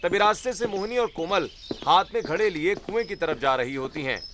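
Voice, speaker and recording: Higher-pitched man narrating a story. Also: expressive, brisk, crowd noise.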